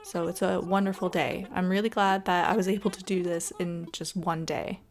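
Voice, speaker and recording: A woman's voice: lowish voice, little pitch movement, moderate pace. A woman giving casual, chatty gameplay commentary in an informal way. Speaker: gaming video host.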